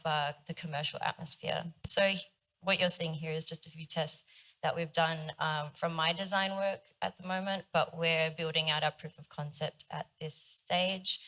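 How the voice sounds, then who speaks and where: A woman's voice: deep voice, fairly bright tone, low-quality recording. A woman in her twenties, a young designer on a panel, explaining her project steadily with occasional fillers. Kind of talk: panel discussion talk.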